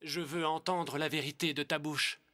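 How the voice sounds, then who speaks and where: An actor playing an earnest, humane young Hebrew prince with a resolute manner in a dubbed animated film. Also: male voice, on the high side, normal speed.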